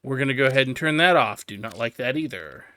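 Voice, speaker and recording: A man talks through a video game tutorial in a bright, forward voice. He explains step by step and leans on the important advice. The sound is close and very dry. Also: mid-range voice, animated, fast talker, clear, resonant tone, no echo. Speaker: gaming video host.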